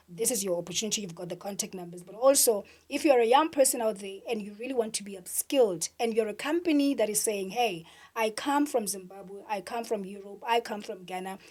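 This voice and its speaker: A woman's voice: on the high side, darkish tone. A woman hosting a business talk show, affirming her guest and wrapping up the episode in an upbeat way. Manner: encouraging, frequent affirming murmurs, upbeat wrap-up. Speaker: host. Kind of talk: talk show interview and sign-off.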